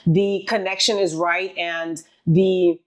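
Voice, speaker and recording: A woman's voice: fairly low pitch, level tone, slow, rough recording. Earnest woman talking things through. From Haiti. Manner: earnest, emphatic, many restarts and repeated words. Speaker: podcast host. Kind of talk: casual heartfelt conversation.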